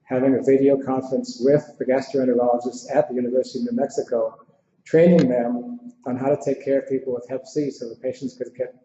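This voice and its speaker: A man's voice: mid-range voice, mellow. An American man answering audience questions in an informal lecture, speaking in a thoughtful, conversational, somewhat hesitant way. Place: indoor lecture room with audience. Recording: muffled, echoey lecture hall with background noise.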